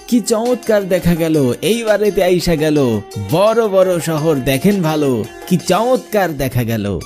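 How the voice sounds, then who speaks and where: A man playing a travelling bioscope showman, narrating an eerie cartoon story in the first person with expressive delivery. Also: mid-range voice. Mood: chanting. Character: travelling village bioscope showman telling his own eerie tale.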